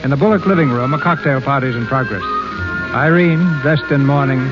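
A man announcing and narrating a radio drama in a measured, formal way. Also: mid-range voice, highs cut off, music in the background. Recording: scratchy 1930s radio broadcast.

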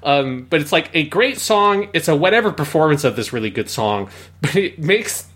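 A man's voice: fairly high pitch, animated, normal speed, a bit bright. A man co-hosting a podcast, giving casual but analytical commentary in a review discussion, explaining at length with emphasis. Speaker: podcast co-host.

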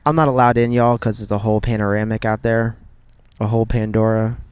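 A man's voice: on the low side, flat delivery, fairly quick, a little mellow, smooth, no room sound. A young American man co-hosting a podcast, chatting casually and playfully as he prompts and interjects. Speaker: podcast co-host. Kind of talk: casual banter.

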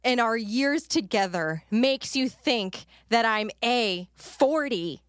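A woman's voice: a little high, expressive, slow, fairly bright tone, no echo. Laughing, swearing woman, dry close sound. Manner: swears freely, laughs often, exasperated storytelling. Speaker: podcast co-host. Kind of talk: casual chat over video call. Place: recording studio.

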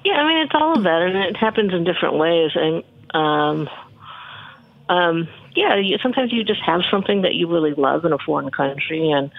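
A guest chef from Michigan talks in a loose, rambling way, full of ums and restarts. The voice has a gravelly fry and sits mid-range. It comes through a tinny, dry phone line. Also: mid-range voice, lively intonation, normal speed, no room sound. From Michigan, USA. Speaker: chef and cookbook author, guest. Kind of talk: casual interview answers.

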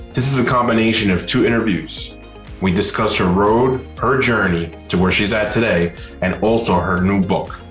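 Echoey low-voiced man introducing a show. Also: fairly bright tone. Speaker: podcast host. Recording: roomy echo, music underneath.

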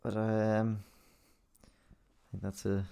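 Man, very deep voice, vocal fry, laid-back, casual podcast co-host. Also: monotone, very slow, mellow.

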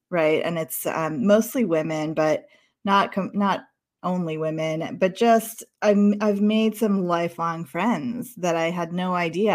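Woman, slightly low pitch, casual chat, informal, self-deprecating. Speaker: dance studio helper in conversation.

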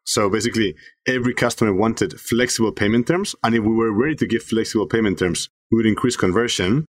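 A man from Spain, a startup founder being interviewed on a podcast, talking fast with many fillers and restarts. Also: fairly low pitch, no room sound, clean studio sound. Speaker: startup CEO guest.

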